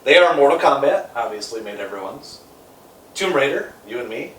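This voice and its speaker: A man in a casual, opinionated group debate, speaking in a halting, repetitive way with some swearing. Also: medium pitch, expressive, a bit bright. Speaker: panelist on a film ranking show. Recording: echoey room, distant mic, faint noise.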